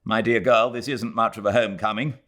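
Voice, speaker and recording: A man's voice: lowish voice, steady tone, fairly quick, bright, forceful tone. A man voicing a stiff, exasperated young Englishman who speaks in a clipped, brusque way. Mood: brusque impatience.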